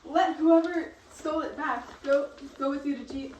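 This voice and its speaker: High bright lady playing a cheeky little brother who protests, amateur play, echoey room. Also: normal speed, natural voice. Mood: pleading. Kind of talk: amateur readers' theatre. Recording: distant echoey room, faint noise.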